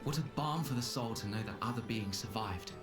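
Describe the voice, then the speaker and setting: An actor playing a weary, grateful expedition member reading a solemn, wistful journal entry in a video game. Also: male voice, on the low side, a little fast, far from the mic, over loud music, close to an everyday voice. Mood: solemn, hopeful. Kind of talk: dramatic game voice acting, journal narration.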